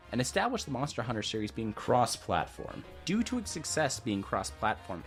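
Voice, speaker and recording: A man reading a scripted, comedic video game overview in a sarcastic, profane, irreverent way. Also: medium pitch. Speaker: video creator and narrator. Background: instrumental music.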